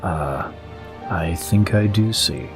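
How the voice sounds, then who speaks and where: A man playing a courteous, tactful royal advisor in an audio drama, with formal and measured phrasing. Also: sits low, animated, creaky, over music, heavily acted. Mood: reassuring.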